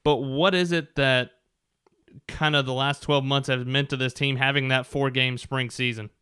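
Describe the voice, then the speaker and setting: A man's voice: medium pitch, steady tone, normal speed, fairly bright tone, clear, resonant tone, no room sound, clean studio sound. A man hosting a sports show, putting interview questions to a guest.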